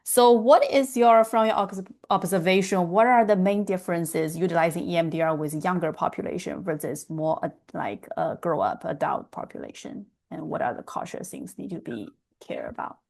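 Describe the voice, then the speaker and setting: Female moderator for an online seminar Q&A, pitched a little low. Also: moderate pace, darkish tone. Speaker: webinar moderator. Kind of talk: moderating a question and answer session.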